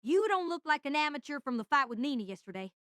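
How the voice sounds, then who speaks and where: A woman's voice: high voice, big pitch swings, fast, fairly bright tone, no echo, studio quality. A woman playing an eager, friendly young male engineering student, chatty and earnest, in an audio drama. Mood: curious, earnest.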